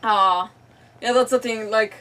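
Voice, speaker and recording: A woman's voice: on the high side, steady tone, a little slow, a bit bright, recorded from a distance. A woman chatting casually as she streams a video game. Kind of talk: casual gaming stream chat.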